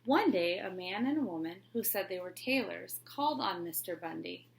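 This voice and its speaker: A woman teacher reading a children's picture book aloud with a clear, expressive storybook delivery. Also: fairly low pitch, moderate pace, slight background noise.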